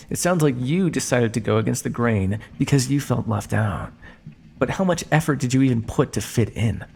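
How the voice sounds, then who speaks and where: A man playing a condescending, coolly menacing vampire scientist in an ASMR roleplay, speaking to his captive in a measured, teasing way. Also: mid-range voice, gravelly, darkish tone, a little hiss or hum. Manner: smug, measured, teasing, theatrical. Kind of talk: ASMR roleplay monologue addressed to listener.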